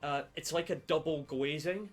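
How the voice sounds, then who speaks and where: Man, fairly high voice, casual movie talk, rambling and halting, sweary, faint music behind. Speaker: film podcast co-host. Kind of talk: casual film discussion chat. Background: background music.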